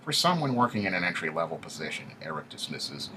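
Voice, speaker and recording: A man reading a first-person story aloud in a steady, wry storytelling manner. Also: sits low, quick pace, noisy background. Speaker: audiobook narrator. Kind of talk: first-person audiobook narration.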